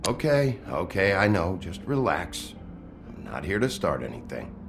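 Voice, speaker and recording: Very deep, gravelly wolf detective. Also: male voice, sing-song, measured pace, noisy background, full character voice. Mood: terse, calm. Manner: terse, restrained. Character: gruff, weary big bad wolf detective.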